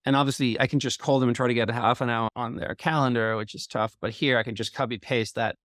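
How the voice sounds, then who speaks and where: A man who speaks English co-hosts a podcast interview. His voice sits at a middle pitch. He talks in a reflective, loosely phrased way with many fillers, and the recording is dry and close. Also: steady tone, fast talker, fairly bright tone, dry recording. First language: Danish. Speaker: podcast co-host. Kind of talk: conversational interview.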